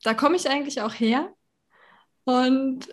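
A woman's voice: high-pitched, unhurried. A woman in her early thirties from Berlin talking casually as an interview guest, informal and thinking aloud. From Berlin, Germany. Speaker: podcast guest, holistic health consultant.

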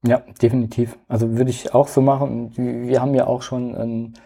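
A man hosting a podcast, introducing the episode and asking calm interview questions with short affirmations. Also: mid-range voice, brisk, creaky, slightly rough. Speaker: podcast host.

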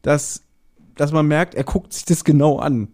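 A man hosting a podcast, casually retelling a story in an animated way and acting out short quotes. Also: a little high, a little slow. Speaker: podcast host. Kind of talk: casual chatty episode recap.

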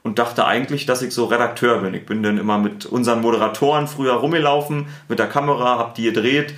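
A man with a Berlin accent, a sports TV presenter and editor, answering interview questions in a relaxed, chatty way with many fillers. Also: medium pitch, fast talker, echoey room. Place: studio.

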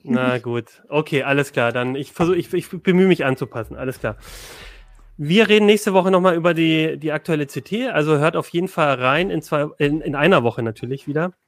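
A southern German man hosts a casual podcast chat, his voice sitting a little high for a man. He speaks with many fillers and restarts, and the sound is dry and close. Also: brisk, no room sound. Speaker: podcast host.

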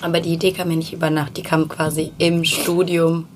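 A woman's voice: deep voice, flat delivery, a little fast. A woman hosting a podcast interview, prompting her guest with short interjections and laughing easily, in a noisy recording. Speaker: podcast host. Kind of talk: casual interview chat.

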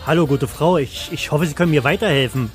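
A man's voice: on the high side, quick pace, faint music behind, lightly acted. A man playing a dull, anxious bookkeeper in an audio story, earnest and insistent with a hesitant delivery. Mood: polite, urgent. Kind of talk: first-person audio story.

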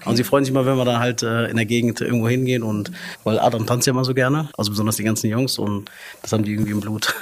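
Young male restaurant manager, casual interview guest, middle pitch, chatty with fillers. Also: flat delivery, rapid-fire, a little hiss or hum.

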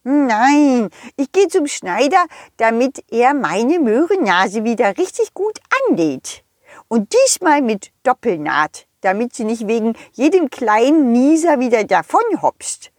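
A woman's voice: fairly high pitch, very animated, measured pace, no echo, studio quality. A woman voicing a little snowman in a children's audio play, whiny, fretful and childlike, stammering and breaking into sneezes. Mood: stubborn.

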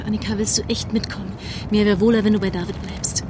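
A woman's voice: medium pitch, little pitch movement, quick pace, close to an everyday voice. A woman playing an earnest young undercover agent in an audio drama, insistent and urgent. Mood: tense, determined. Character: driven, earnest young female undercover agent among activists. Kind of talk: naturalistic audio drama acting. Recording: clear background noise and ambience.

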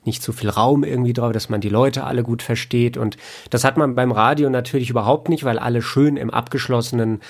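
A man, an audio producer talking as an interview guest, explaining things in a halting way and repeating words as he thinks. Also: mid-range voice, fairly even intonation, vocal fry. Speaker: audio producer and sound engineer, podcast guest.

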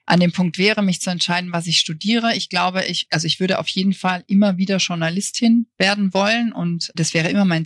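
Journalist guest, woman from Bavaria, gravelly, reflective, dry close recording. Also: on the low side, fairly bright tone, no echo. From Bavaria, Germany. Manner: thoughtful, frank, hedges with "ich glaube". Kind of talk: reflective interview answers.

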